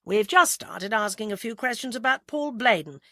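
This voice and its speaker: A woman voicing a brisk, bossy, jealous female amateur sleuth in an audiobook, snappy and assertive. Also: fairly high pitch, lively intonation, fairly bright tone, no room sound, clean studio sound, voice pushed up. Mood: pressing. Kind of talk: audiobook character acting.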